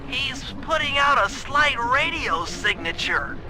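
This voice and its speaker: A man's voice: extremely high pitch, animated, takes it slowly, music in the background, exaggerated voice, poor audio. A man voicing a brainy, nerdy mission planner in a video game, with precise, wordy, formal phrasing. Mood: explanatory, keen.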